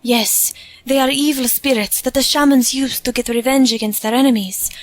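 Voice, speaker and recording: A woman's voice: sits high, steady tone, normal speed, no echo, close to an everyday voice. A woman playing an earnest young woman in a period audio drama, giving solemn, plain-spoken warnings.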